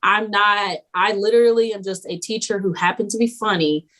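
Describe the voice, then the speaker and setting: A young woman teacher chatting as a podcast guest, animated and laughing often, slipping into quoted voices as she tells stories. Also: mid-range voice, fairly quick. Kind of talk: casual podcast interview.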